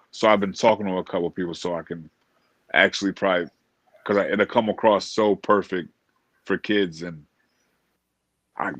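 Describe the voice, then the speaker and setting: An American man chatting casually and earnestly as an interview guest, informal and a little halting. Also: sits low, no room sound. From Springfield, Massachusetts, USA. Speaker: interview guest, motivational speaker.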